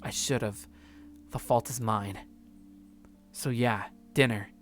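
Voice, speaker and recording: Male let's play streamer: medium pitch, casual, reads game narration aloud, gentle guitar music underneath. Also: fairly even intonation, slow pace. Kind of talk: reading a visual novel aloud, with casual reactions.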